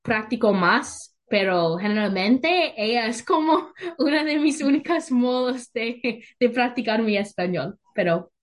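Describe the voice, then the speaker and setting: A woman's voice: fairly high pitch, lively intonation, unhurried, few pauses. A young woman whose first language is English, hosting a casual podcast chat in Spanish, often hesitating and restarting. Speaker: podcast host.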